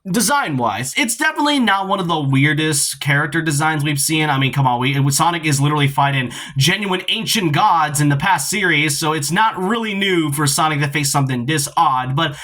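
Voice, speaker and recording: A man's voice: on the high side, animated, brisk, few pauses, sharp tone. A man giving informal, opinionated video essay commentary on games, speaking emphatically and often stumbling over or repeating words. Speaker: video game commentary YouTuber.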